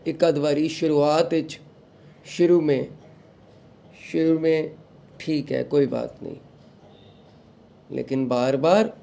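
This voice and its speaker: A young man, recovering from a sore throat, hosting a devotional talk and giving calm advice to followers while mixing in English phrases. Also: a little high, vocal fry. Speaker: satsang host.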